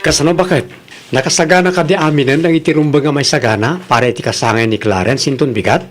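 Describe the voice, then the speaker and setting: A man plays a young husband and father in an Ilocano radio drama. He is supportive and agreeable. His voice is a little high for a man, with an Ilocano accent. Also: fast, soft background music, close to an everyday voice. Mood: agreeable, practical.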